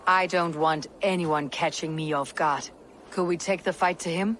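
A woman's voice: fairly low pitch, fairly even intonation, moderate pace, a bit bright, natural voice. A woman playing a stern, self-assured, defiant Viking warrior in a video game, with terse, confident lines. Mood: grim resolve. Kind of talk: dramatic video game acting. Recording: noisy ambience behind the voice.

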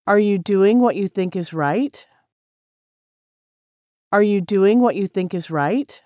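A woman with an American accent reads practice sentences very slowly, each word laid out plainly for learners. Her pitch rises and falls freely across each line. The sound is dry and close, with no room around it. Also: a little mellow, dry recording. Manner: clear, careful, each sentence said three times. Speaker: English practice reader. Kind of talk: slow repeated sentence reading for drills.

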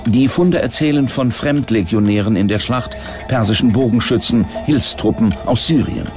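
A man's voice: medium pitch, moderate pace. A man reading scripted documentary narration as the narrator. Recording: music under the voice. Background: prominent music.

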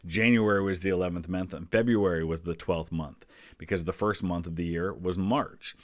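A man's voice: deep voice, fairly even intonation, moderate pace, no echo. A middle-aged American man giving casual history commentary, chatty and inclined to explain things with little digressions. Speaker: history video host.

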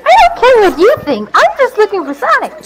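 Woman doing a shrill, bratty cartoon girl. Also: wildly swooping pitch, brisk, full character voice, poor audio. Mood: snappy. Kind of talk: improvised comedic fandub of a video game. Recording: game music behind.

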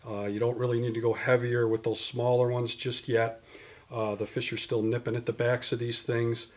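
A man's voice: mid-range voice, flat delivery, moderate pace, a little mellow. A man in his fifties walks through fishing gear with a patient, detailed, explanatory manner. He talks like a guest on a studio interview, recorded on a camera mic indoors in front of a banner. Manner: detailed, explanatory, gesturing with products in hand. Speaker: fishing tackle company guest.

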